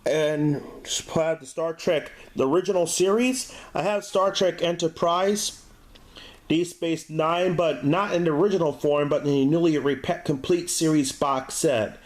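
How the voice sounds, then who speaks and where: A man with a slightly high-pitched voice presents a homemade-style, off-the-cuff review. His delivery is halting, and he often trips over words and starts them again. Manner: halting, stumbling over words when reading aloud. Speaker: DVD reviewer, show host. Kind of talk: casual unscripted video review.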